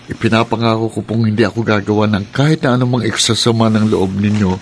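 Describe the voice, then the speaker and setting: An actor playing a polite, earnest young suitor in a radio drama, respectful and a bit nervous. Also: male voice, on the low side, steady tone, fast talker, a little hiss or hum, natural voice. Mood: grateful. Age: young adult. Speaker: suitor character.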